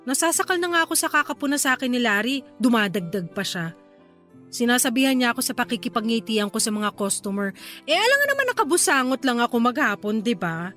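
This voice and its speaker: Affectionate, stifled young Filipino woman; higher-pitched voice, radio drama, music underneath. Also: very animated, fast talker, fairly bright tone. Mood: frustrated, worried. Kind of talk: Tagalog radio drama acting.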